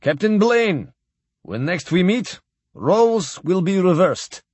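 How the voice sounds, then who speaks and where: A man's voice: high-pitched, big pitch swings, takes it slowly, a little mellow, breathy edge, no room sound, voice pushed up, exaggerated voice. A man voicing a proud, formal old admiral who speaks in clipped phrases without articles. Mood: ceremonious. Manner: clipped speech dropping articles.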